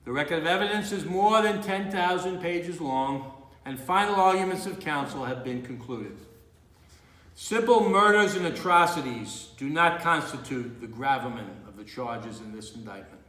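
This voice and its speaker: A man acting a grave, resolute judge in a theatrical courtroom monologue, with measured, emphatic oratory. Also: fairly high pitch, lively intonation, bright, forceful tone. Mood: solemn, formal. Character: grave, morally resolute male judge delivering a verdict. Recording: roomy, echoey indoor room.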